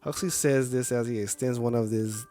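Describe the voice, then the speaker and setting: A man's voice: mid-range voice, moderate pace, mellow. A man streaming a game, reading the story aloud and dropping in casual reactions. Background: music.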